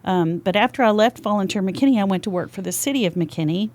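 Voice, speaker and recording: A woman's voice: mid-range voice, fast, darkish tone. An older woman with a Texas accent, chatting freely as a podcast guest in a studio. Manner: chatty and talkative. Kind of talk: casual interview chat.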